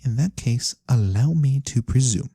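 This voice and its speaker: A performer voicing a mysterious, courteous fantasy lorekeeper in a soft-spoken ASMR roleplay, breathy and theatrical with knowing pauses. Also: medium pitch, lively intonation, no echo, studio quality. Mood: knowing, hushed. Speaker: storyteller character.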